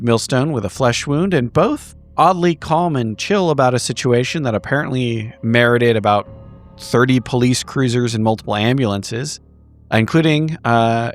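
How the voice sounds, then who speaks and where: A man with a wry, wordy, articulate way of talking, narrating like a tabletop roleplaying podcast player. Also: medium pitch. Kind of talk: casual banter and narrated session recap. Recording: music behind the voice. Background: music.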